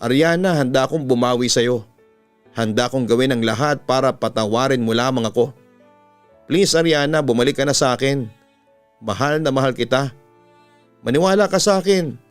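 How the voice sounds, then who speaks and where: A man's voice: mid-range voice, normal speed, long pauses, clear, resonant tone, lightly acted. A man with a Filipino accent voicing an earnest young lover pleading with his lost love in a dramatized story. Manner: pleading, emphatic. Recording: music bed under the voice.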